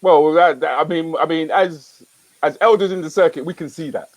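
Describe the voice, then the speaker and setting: A man in his fifties hosting a chat show, talking in a relaxed, conversational way and often asking "you know what I mean?". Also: a little high, moderate pace, no room sound, rough recording. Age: 50s. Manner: relaxed, conversational, rhetorical questions. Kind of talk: casual chat.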